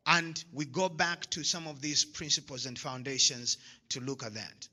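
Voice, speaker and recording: A man's voice: mid-range voice, normal speed, pushed and bright. A man from Zambia giving a motivational talk to an audience, emphatic and repeating his key lines, often laughing at his own jokes. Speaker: motivational speaker. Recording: long hall reverb.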